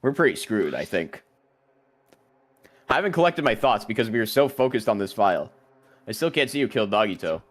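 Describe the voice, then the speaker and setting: A man's voice: lowish voice, big pitch swings, a little fast, long pauses. A man voicing an earnest, worried young male student in a visual novel, speaking with uncertainty. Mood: anxious. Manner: uncertain, questioning. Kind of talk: acted dialogue reading from a visual novel.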